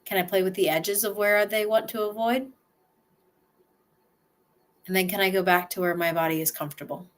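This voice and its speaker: A woman leading a slow guided relaxation exercise, speaking gently in the first person and pausing for breaths and sighs. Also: mid-range voice, fairly even intonation.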